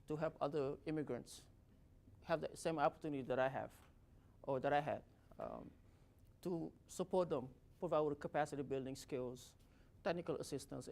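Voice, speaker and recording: A man's voice: a little high, darkish tone. A man from Senegal, an office director speaking informally to present himself and his work. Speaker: government office director giving a talk.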